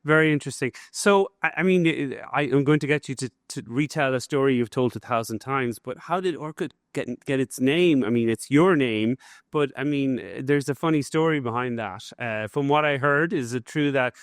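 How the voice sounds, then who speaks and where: A man's voice: medium pitch, moderate pace, no room sound, clean studio sound. A man hosting a podcast interview at a conference, chatty and enthusiastic, with many fillers and restarts. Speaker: host, business school professor. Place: conference event.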